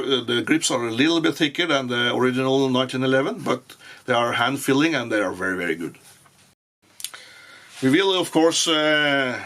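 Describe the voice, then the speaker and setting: A man whose first language is Norwegian, giving a casual firearm review in English with frequent pauses and restarts. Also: medium pitch, fairly bright tone. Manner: halting, many fillers and restarts. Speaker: gun reviewer.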